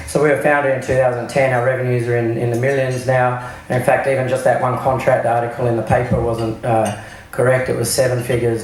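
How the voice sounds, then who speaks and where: A middle-aged man, hoarse from travel, giving a halting business talk into a microphone with many ums and restarts. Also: medium pitch, slight background noise. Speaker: startup CEO giving a talk. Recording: very echoey room, faint clatter of dishes.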